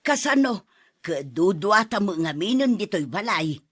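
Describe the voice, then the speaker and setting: A woman's voice: mid-range voice, very animated, a little slow, breathy edge, no echo, studio quality. An actress in a radio drama playing a fussing, affectionate elderly mother and grandmother.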